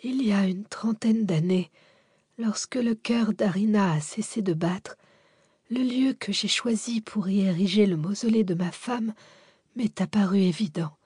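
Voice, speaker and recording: A man's voice: high voice, a little slow, a little mellow, dry recording, studio quality. A woman voicing an old widowed benefactor, weakened and whispery, confiding secrets with pride and tenderness. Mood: tender, fading. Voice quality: whispery, breathy, faint.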